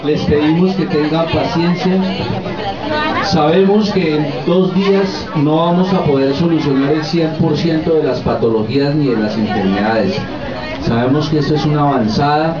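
Hombre, micrófono de mano, espacio cubierto, multitud ruidosa detrás, grabación con eco. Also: male voice, a little high, normal speed. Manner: reassuring, organizing, asks for patience. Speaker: visiting doctor addressing patients. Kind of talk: public speech. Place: covered open-air public space with a crowd. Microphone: handheld mic. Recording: echoey, dull, loud crowd behind.

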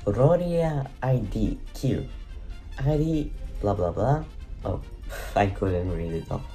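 A man's voice: on the low side, expressive, takes it slowly, warm tone, soft background music. A man with a Russian accent casually commentating a game at home, musing, correcting himself and laughing at himself. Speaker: gaming channel host. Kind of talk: casual let's-play commentary. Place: home room.

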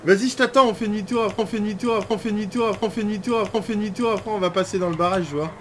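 A man hosting gaming videos, chatting casually and jokily in excitable in-game roleplay. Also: high voice, racing, soft background music. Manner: excitable, jokey. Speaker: gaming video host and player.

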